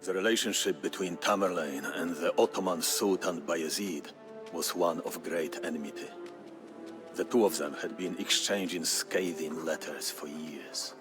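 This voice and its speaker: A man narrating a historical tale as scripted storytelling, in a grave, measured, theatrical manner. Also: low voice. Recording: music behind the voice. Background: music.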